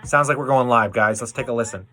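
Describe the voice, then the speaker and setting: A man's voice: medium pitch, fast talker, pushed and bright. A man chatting casually to viewers as host of an investing livestream.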